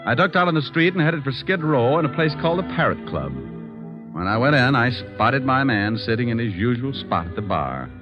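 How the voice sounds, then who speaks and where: An actor playing a wisecracking, hardboiled private detective with a breezy, slangy delivery in an old radio drama. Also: male voice, mid-range voice, big pitch swings, brisk, music in the background, close to an everyday voice. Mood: breezy, wry. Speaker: lead actor and narrator. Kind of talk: 1940s radio acting. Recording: dull old radio broadcast recording.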